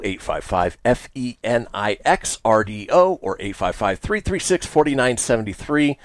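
Male radio show host, animated and emphatic with chatty asides, recorded dry and close. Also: mid-range voice, no room sound. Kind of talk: upbeat radio show hosting. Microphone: close studio radio mic.

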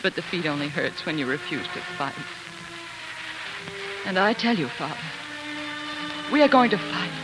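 An actress playing a spirited, fiercely loyal colonel's wife and devoted daughter in an impassioned, theatrical 1940s radio drama style. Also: female voice, low-pitched, very animated, moderate pace, long pauses, rough recording. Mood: defiant. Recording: 1940s radio broadcast with music.

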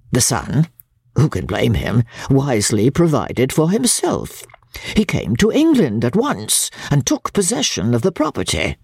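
A woman doing a dramatic audiobook reading as a shrewd, cold older Victorian woman recounting secrets, with a low, husky voice and deliberate delivery. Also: no echo, studio quality, exaggerated voice. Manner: deliberate storytelling, dramatic swoops and hushes. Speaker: audiobook reader. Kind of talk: dramatic reading of classic literature.

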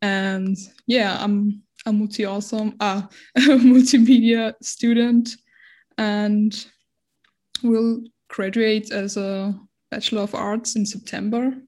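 Young Austrian woman, non-native English, interview guest; measured, halting, level delivery. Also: medium pitch, level tone, darkish tone. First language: not English. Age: 20s. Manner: hesitant, searching for words, many restarts. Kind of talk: casual interview conversation.

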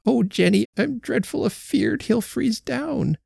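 A fretful old countrywoman, as a male reader puts her on, her voice quavering high and thin with worry. She frets in rustic dialect, breathy and soft, slow as she dwells on her fears. The sound is dry and close. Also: animated, no room sound, exaggerated voice. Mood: anxious fretting. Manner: tremulous, anxious, rustic dialect. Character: fretful timid old farm wife.

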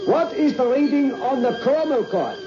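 An actor playing an authoritative space mission controller in an old-time radio drama, with a formal, commanding and declamatory manner. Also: male voice, very high-pitched, normal speed. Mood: intent. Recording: dull, noisy old radio recording.

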